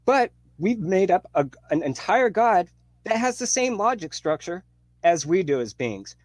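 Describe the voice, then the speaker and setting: A man's voice: sits high, sing-song, unhurried, dry recording. Frustrated fifty-year-old man, dry sound. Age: 50s. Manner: frustrated, casual, rambling. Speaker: debate guest. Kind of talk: heated debate.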